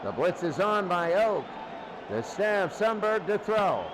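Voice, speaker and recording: A man's voice: high voice, big pitch swings, slow pace, crowd noise, background noise. A man giving live play-by-play football commentary as a sports announcer.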